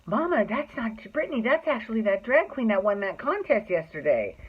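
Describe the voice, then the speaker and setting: A man's voice: high voice, sing-song, moderate pace, breathy edge, pitched above the performer's own voice, full character voice. A man voicing an earnest young girl, speaking emphatically and matter-of-factly, in a storytelling recording. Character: earnest young girl pointing something out to mother. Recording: thin phone-like sound.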